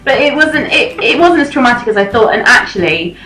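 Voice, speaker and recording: A woman's voice: fairly high pitch, expressive, a little fast, sharp tone. A British woman of thirty chatting casually with a friend in a self-deprecating, dramatic way. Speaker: host of a chat series. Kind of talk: casual chat between friends. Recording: echoey, poor-quality amateur audio, music behind.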